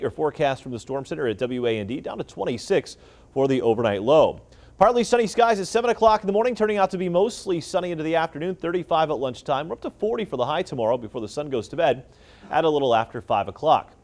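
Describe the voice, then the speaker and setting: A man's voice: fairly high pitch, sing-song, fast, darkish tone. An American man presenting a weather forecast on television in a live broadcast style.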